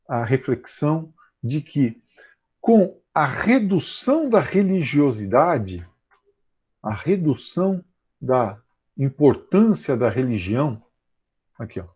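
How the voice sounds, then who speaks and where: A Brazilian man teaching a history class online over a video call, explaining with many fillers and slowly repeating key terms. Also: medium pitch, dark tone, slightly rough. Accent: Brazilian Portuguese. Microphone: computer mic on a video call. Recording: muffled, slightly dull video call audio.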